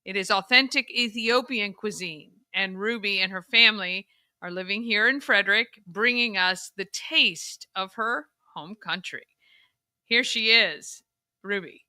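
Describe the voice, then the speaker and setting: A woman hosting a local interview show, upbeat and chatty with quick yeahs and enthusiastic remarks. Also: medium pitch, bright, forceful tone, dry recording. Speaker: host.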